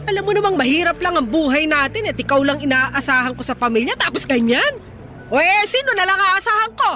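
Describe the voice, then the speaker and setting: Sharp, high-voiced scolding Filipina mother. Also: very animated, a little fast, faint music behind, a faint crowd, full character voice. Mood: angry, reproachful. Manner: scolding, sharp-tongued, blunt. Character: harsh, blunt, nagging Filipina mother. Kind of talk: radio drama acting.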